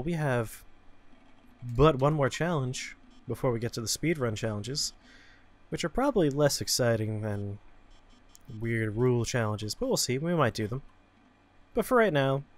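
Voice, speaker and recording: A man hosting a gaming show, giving casual video game commentary with wry, playful asides. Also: medium pitch.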